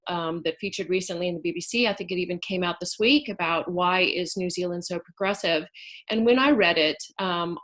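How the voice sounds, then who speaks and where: Woman, middle-aged, panelist, thoughtful, frequent ums, lowish voice. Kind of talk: webinar panel answer.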